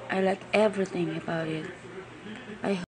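A woman's voice: fairly low pitch, fairly even intonation, normal speed, a crowd in the background, poor audio. A woman teacher giving a casual spoken review of a product she bought.

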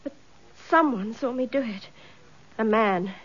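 An anxious young British woman, high-voiced and earnest, with a remorseful, troubled manner. The radio drama recording is slightly dull. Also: measured pace. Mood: remorseful, frightened.

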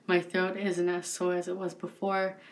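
A woman's voice: fairly low pitch, normal speed. A woman in her thirties chatting casually on her vlog with a stuffy nose, talking into a phone camera at arm's length in a small bedroom. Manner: chatty and excitable, laughs often. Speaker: YouTuber.

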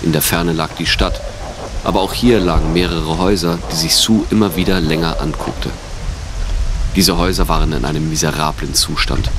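A man's voice: sits low, noisy background. A man reading scripted narration for an audio drama.

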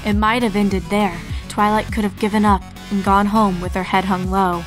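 Woman reading a scary story, pretty steady pitch, some music behind her. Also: low-quality recording. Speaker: narrator of horror fan fiction. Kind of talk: scripted dramatic story narration.

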